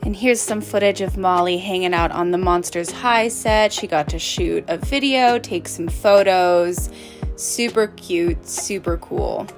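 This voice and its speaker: Low-voiced woman narrating a vlog. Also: unhurried, soft background music. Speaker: voice-over narrator. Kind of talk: casual voice-over.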